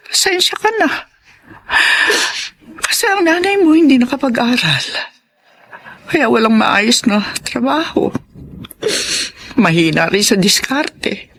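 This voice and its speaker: A woman's voice: a little high, creaky, fairly bright tone, full character voice. An actress playing a loving, apologetic, self-sacrificing mother in a Filipino radio drama, speaking with tender, earnest emotion. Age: middle-aged. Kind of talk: Tagalog radio drama acting.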